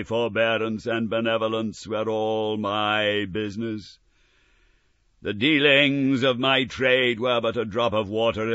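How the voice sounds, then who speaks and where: A man voicing an anguished, solemn male ghost in chains, with slow, drawn-out wailing cries. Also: lowish voice, pushed and bright, smooth, no room sound, dull audio, voice pushed up. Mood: lamenting.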